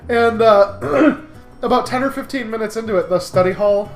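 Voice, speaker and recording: A young American man telling anecdotes as a game co-host, in casual chat with a wry, halting delivery. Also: high voice. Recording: roomy echo, faint music behind.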